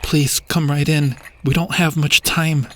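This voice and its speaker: A man's voice: fairly high pitch, fairly even intonation, unhurried, a little hiss or hum, natural voice. A man playing a devoted, earnest army lieutenant in a fantasy audio roleplay, formal and deferential with urgency. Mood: urgent, reverent. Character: devoted army lieutenant, earnest, reverent, higher-voiced soldier. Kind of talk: fantasy ASMR roleplay acting.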